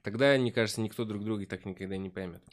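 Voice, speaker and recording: A man chatting casually and at length, reasoning his way through his points in a halting, argumentative manner. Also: on the low side. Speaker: podcast guest. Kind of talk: casual chat.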